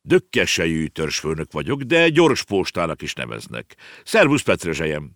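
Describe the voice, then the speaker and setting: A man voicing a calm, self-assured island chief in a children's storybook, matter-of-fact and unruffled. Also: sits low, no room sound, studio quality, full character voice. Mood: calm, dignified.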